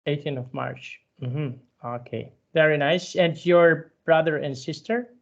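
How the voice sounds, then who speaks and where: A man in his late thirties, speaking a little high for a man, teaching English patiently. He talks in halting bursts and keeps restarting his sentences. The sound is dull and lo-fi, as on an online call. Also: gravelly. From Serbia. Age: 30s. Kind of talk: one-on-one online English lesson.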